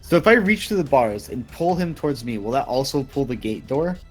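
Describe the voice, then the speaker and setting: A man's voice: medium pitch, big pitch swings, brisk, husky, soft background music, rough recording. A man chatting casually as a player in a tabletop roleplay game. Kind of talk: casual chat.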